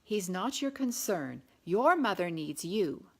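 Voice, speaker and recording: A woman's voice: mid-range voice, sing-song, slow pace, breathy edge, heavily acted. A protective English matron, put on by a woman reading a novel. She speaks with firm command, as a figure in a historical audiobook.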